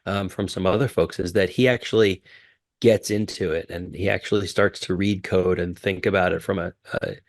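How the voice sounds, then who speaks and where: A man hosting a podcast, introducing the guest in an upbeat, energetic way. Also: deep voice, normal speed, creaky, dry recording. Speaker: podcast host. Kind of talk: casual podcast chat.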